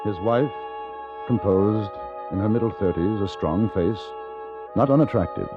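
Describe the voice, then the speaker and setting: An actor playing a courteous English reporter in the Old West, speaking in 1950s radio drama style. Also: male voice, on the low side, narrow, tinny audio, over music, lightly acted. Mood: measured narration. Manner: measured, articulate, polite.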